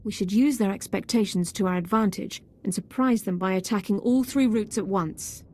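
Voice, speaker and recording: Game strategist, female actor: composed, formal, analytical, with a soft-edged, medium-pitched voice. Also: lightly acted. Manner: formal, measured, precise. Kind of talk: video game voice acting.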